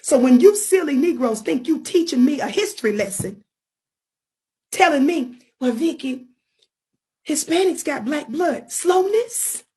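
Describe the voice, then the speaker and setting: A woman's voice: high voice, expressive, measured pace, warm tone. A woman ranting in a sassy, scolding tone that mocks the people she is answering. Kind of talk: combative online rant.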